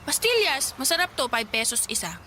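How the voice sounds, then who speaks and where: A woman's voice: sits high, big pitch swings, a little fast, sharp tone, slight background noise, full character voice. A woman playing a spirited, cheerful young street vendor in a Filipino radio drama, sassy and bantering. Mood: playful, hawking.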